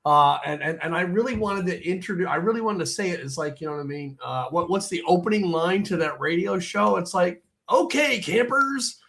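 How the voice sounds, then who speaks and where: Hoarse raspy-voiced man chatting. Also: high-pitched, expressive, a little fast, hardly any pauses, fairly bright tone. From Pennsylvania. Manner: rambling, repeats himself. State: hoarse after illness. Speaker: podcast co-host. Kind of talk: casual chat.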